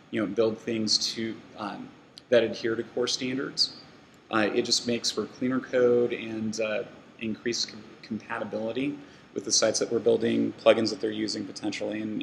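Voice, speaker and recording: Gravelly man giving a rambling tech talk. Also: mid-range voice, fairly bright tone, slight background noise, rough recording. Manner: loose, rambling, many fillers and restarts. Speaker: meetup speaker. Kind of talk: casual conference talk. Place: meeting room with an audience.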